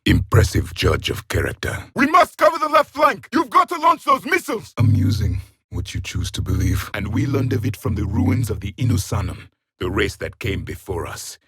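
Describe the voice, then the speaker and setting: A man's voice: mid-range voice, huge pitch swings, moderate pace, a bit bright, husky, no echo, studio quality, heavily acted. A man playing a proud, stern alien warrior from an ancient race in a video game, speaking formally with haughty disdain. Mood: urgent, battle shouting. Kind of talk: video game character voice lines.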